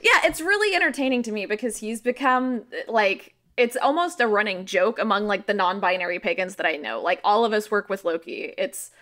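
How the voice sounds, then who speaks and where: Bright-voiced woman podcast guest. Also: mid-range voice, lively intonation, brisk, hardly any pauses. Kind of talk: casual explanatory chat.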